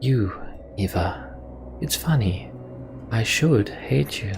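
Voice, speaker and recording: A man voicing a scheming female healer in a game, sly and confiding, with drawn-out phrases. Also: fairly low pitch, lively intonation, creaky, a little mellow, heavily acted. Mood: sly, friendly. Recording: background noise.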